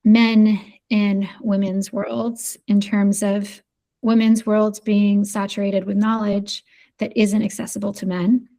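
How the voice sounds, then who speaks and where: Flat-voiced woman musing slowly. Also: medium pitch. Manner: thoughtful, hesitant, many fillers. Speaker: podcast guest. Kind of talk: casual conversation.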